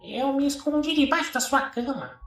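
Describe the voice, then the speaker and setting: Tiny creepy-story creature, squeaky high and childlike, coaxing, secretive, echoey w/ music behind. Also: male voice, big pitch swings, moderate pace, fairly bright tone, exaggerated voice. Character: small wide-mouthed creature, childlike secretive imaginary friend. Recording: echoey, distant voice over music.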